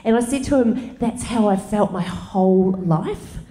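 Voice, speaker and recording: A woman in her forties, co-hosting a live comedy podcast on a theatre stage, telling playful anecdotes in an animated way. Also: medium pitch, unhurried. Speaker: guest co-host. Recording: roomy live theatre sound.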